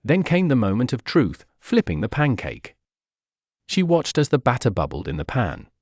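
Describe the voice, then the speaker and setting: A man's voice: mid-range voice, huge pitch swings, normal speed, darkish tone, dry recording, dull audio. A man narrating a scripted children's story as a read-aloud.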